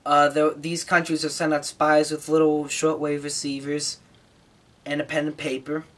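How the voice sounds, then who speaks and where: A man's voice: fairly high pitch, completely flat delivery, normal speed, fairly bright tone. A man giving a casual, unscripted monologue as a hobbyist host, informal and opinionated in his way of talking. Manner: informal, opinionated, mildly swearing. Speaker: shortwave radio hobbyist host.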